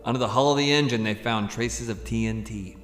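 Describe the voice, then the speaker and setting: A man's voice: medium pitch, brisk, a bit bright, lightly acted. Man acting casual cop in echoey surroundings. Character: easygoing male police officer at crime scene. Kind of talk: pulp radio drama acting. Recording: echoing room, faint music behind.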